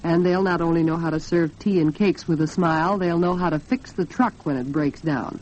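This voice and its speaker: Low-voiced American woman on 1940s radio. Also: moderate pace, darkish tone. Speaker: radio host. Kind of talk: 1940s radio talk with scripted sponsor chat. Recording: dull, band-limited 1940s radio recording.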